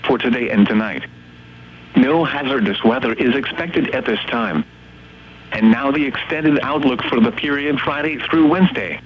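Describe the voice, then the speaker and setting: A synthetic male text-to-speech voice reading weather radio forecasts and observations in a measured, formal, impersonal way. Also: mid-range voice, highs cut off. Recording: weather radio broadcast with hum and static.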